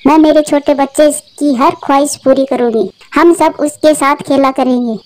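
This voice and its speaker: A woman playing a gentle, earnest young mother bird in a Hindi cartoon. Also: sits high, steady tone, normal speed, natural voice. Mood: joyful.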